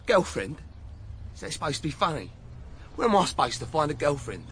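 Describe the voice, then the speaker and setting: A cocky young English footballer, noisy recording. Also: male voice, fairly high pitch, sing-song, brisk, a bit bright. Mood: bitter, defiant. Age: young adult. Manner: brash, slangy, argumentative. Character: cocky, defiant young footballer under police questioning. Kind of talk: naturalistic radio drama acting. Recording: clearly noisy background ambience.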